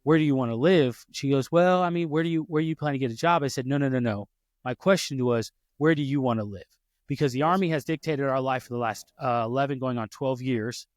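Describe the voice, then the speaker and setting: A man's voice: mid-range voice, fast, no echo. Storytelling male podcast host, dry sound. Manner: storytelling, frequent restarts and self-corrections. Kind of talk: casual podcast conversation.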